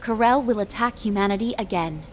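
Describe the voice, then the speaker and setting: An actress playing a serious intelligence briefer who gravely explains threats in a video game. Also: female voice, medium pitch, brisk, a little mellow, faint music behind, lightly acted.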